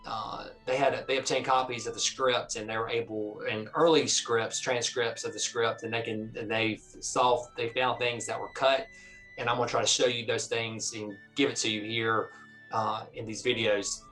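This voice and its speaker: A man hosting a film-fan video series, talking casually in a halting, rambling way and often correcting himself. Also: medium pitch, level tone, a bit bright, soft background music, rough recording.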